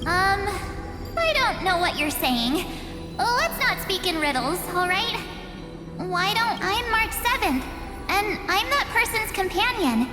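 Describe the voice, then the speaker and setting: A woman's voice: very high-pitched, very animated, slow pace, echoey room, big-room sound, over music, heavily acted. A woman voicing a bubbly, friendly young woman adventurer in a game, exclamatory and girlish, in an anime style. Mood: flustered, friendly.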